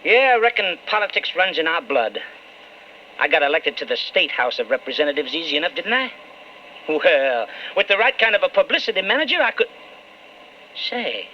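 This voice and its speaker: An actor playing an eager, boastful Southern politician husband in a folksy, coaxing way, in an old-time radio drama. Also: male voice, fairly high pitch. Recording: muffled, noisy old radio recording.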